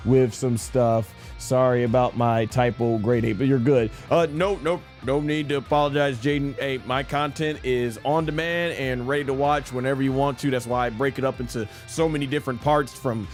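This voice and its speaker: A man hosts a casual gaming stream with a mid-pitched voice. He talks informally to his viewers and mutters through his choices, with faint music behind him. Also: brisk, hardly any pauses. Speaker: gaming streamer and content creator. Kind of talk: casual live stream commentary, reading viewer chat.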